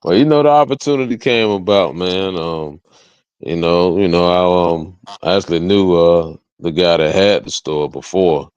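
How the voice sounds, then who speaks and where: A very low-pitched American man talks casually as an interview guest. His speech is slangy, full of "you know" and "man". The recording is dull and lo-fi. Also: moderate pace, a little mellow, smooth. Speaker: interview guest, music artist and entrepreneur. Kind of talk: casual chat. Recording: dull lo-fi audio, roomy echo.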